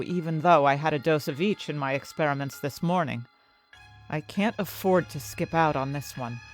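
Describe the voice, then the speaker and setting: A woman acting an anxious, desperate young heroine who tells her own fantasy story in intense, dramatic first-person narration. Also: low voice. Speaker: narrator and main character of an audio fiction story.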